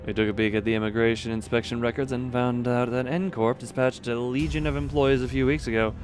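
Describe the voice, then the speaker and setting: A man's voice: mid-range voice, fairly even intonation, fast. Guy streaming a game, chats n reads dialogue out, wanders off topic, faint music behind. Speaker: livestream host playing a game. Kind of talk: casual livestream commentary, reading game dialogue aloud.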